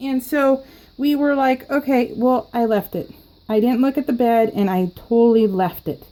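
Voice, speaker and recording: Woman, casual storytelling, rambling, laughs often, higher voice, at home. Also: moderate pace, warm tone, poor audio. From California. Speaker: scrapbooking vlogger. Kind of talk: casual storytelling while crafting.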